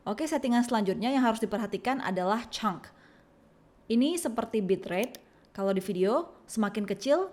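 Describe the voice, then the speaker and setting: Woman narrating a software tutorial. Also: medium pitch. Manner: instructional, step-by-step. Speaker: tutorial presenter.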